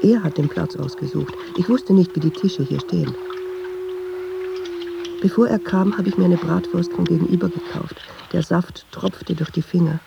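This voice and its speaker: A woman's voice: lowish voice, normal speed, vocal fry, lo-fi sound, background noise. An actress playing a watchful, nervous woman who muses hesitantly in an intimate radio drama monologue, dropping into half-whispers. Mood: suspicious, uneasy. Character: watchful, nervous woman narrating her inner thoughts.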